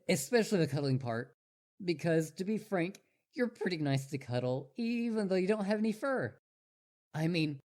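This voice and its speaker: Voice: medium pitch, darkish tone. A performer voicing an obsessive, devoted young male wolf boy in a roleplay audio drama, stammering and eager. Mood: puzzled, hopeful.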